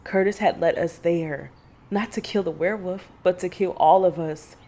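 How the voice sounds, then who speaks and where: An actor playing a middle-aged mother and monster hunter who recounts past horrors in a measured, deliberate audio drama manner. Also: fairly low pitch, dark tone. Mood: anguished. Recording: faint background noise.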